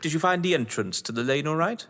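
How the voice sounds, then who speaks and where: A man's voice: on the high side, lively intonation, fast, a bit bright. An actor playing a jovial, theatrical English crime novelist at his manor, genteel and effusive, in an audio drama. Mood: effusive welcome. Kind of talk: stage thriller audio drama acting. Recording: long reverberant hall echo.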